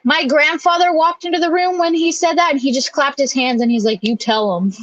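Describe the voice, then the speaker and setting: A woman's voice: high voice, fairly quick, a bit bright, dry recording, dull audio. A woman chatting casually as a panelist on a livestream. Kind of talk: casual chat.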